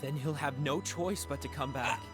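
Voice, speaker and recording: A man's voice: on the high side, moderate pace, loud music behind the voice, lightly acted. A man playing an earnest, troubled young warrior in a dramatic game cutscene, brooding and intense. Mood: urgent, desperate. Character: earnest, troubled young male keyblade leader.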